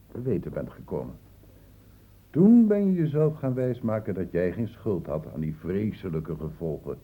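A man's voice: sits low, slightly rough, phone-quality sound, heavily acted. A man acting in a radio play as a grave, precise and insistent doctor. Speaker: actor in a radio drama. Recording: noisy old radio recording with clicks.

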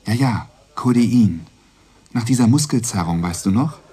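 A man's voice: mid-range voice, lively intonation, a little slow, mellow, natural voice. A male actor playing a young policeman on night duty in a radio play, weary and placating with a trying relative. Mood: concerned. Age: young adult. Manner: placating, exasperated.

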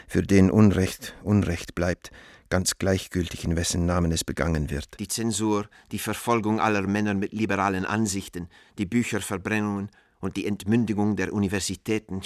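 Actor as deep-voiced, earnest man pleading by letter. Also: no room sound, clean studio sound, lightly acted. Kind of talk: letter read aloud in radio drama.

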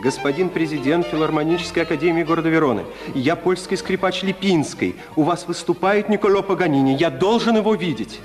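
An actor playing an ardent, impatient Polish violinist, earnest and courteous, in a 1950s Soviet radio drama. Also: male voice, on the high side, brisk, hardly any pauses, over loud music, natural voice. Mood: urgent, impatient. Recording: 1950s radio recording, long reverb.

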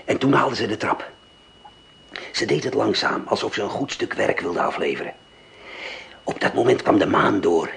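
A gravelly, hushed, excited lookout. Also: male voice, lowish voice, a little hiss or hum, full character voice. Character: excited resistance lookout, admiring and vengeful. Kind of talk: radio drama acting.

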